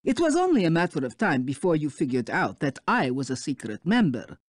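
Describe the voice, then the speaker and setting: An actress playing a condescending, menacing spider villainess in a video game, with a silky manner. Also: female voice, low voice, sing-song, fairly quick, no room sound, clean studio sound. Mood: smug. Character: sinister, condescending spider villainess, a secretly corrupt Interpol official.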